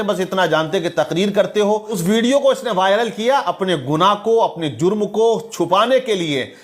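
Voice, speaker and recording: A man presenting online news commentary in a preachy, denunciatory manner, quoting religious sayings. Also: high voice.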